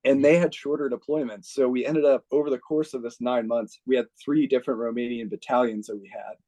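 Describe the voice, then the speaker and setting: Casting note: American man, ex-officer guest, warm relaxed tone, chatty anecdotes peppered with "like". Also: medium pitch, a little fast, rough recording. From United States. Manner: chatty, heavy use of "like", laughs while telling stories. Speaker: interview guest, retired army officer. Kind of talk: casual storytelling.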